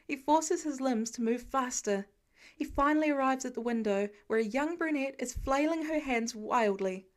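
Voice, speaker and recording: A woman reading scripted fiction as an expressive audiobook-style narrator. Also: high voice, moderate pace. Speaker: podfic narrator.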